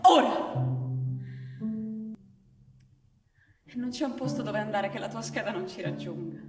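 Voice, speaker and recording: A woman's voice: medium pitch, lively intonation, fairly quick, natural voice. An actress playing an exasperated, protective young working mother in a radio drama, pleading and defiant. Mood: angry, defiant. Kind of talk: stage-style radio drama acting. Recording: echoey hall, distant mic, music behind.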